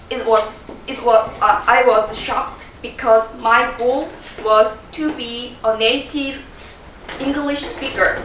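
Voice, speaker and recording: A woman's voice: high voice, fairly bright tone, slight background noise. A young Korean woman presenting in English with a Korean accent, quizzing her audience and laughing often. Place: large room with a live audience. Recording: echoey room from a distance.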